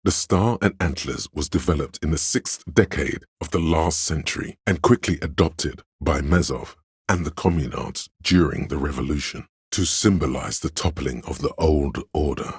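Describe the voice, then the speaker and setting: Game role: erudite inner voice of pure knowledge, extremely low and husky, measured, pedantic narration. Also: male voice, no echo, clean studio sound, heavily acted. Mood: learned, measured. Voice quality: very deep, gravelly, husky.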